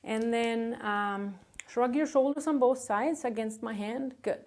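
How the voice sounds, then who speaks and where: A woman conducting a medical exam, giving calm, polite step-by-step instructions with short acknowledgements. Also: a little high, unhurried.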